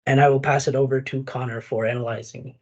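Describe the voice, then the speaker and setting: A man delivers a prepared business presentation. His voice sits at a middling pitch. The recording is dull and lo-fi, lacking crispness in the sound. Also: level tone, fast. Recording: dull lo-fi audio.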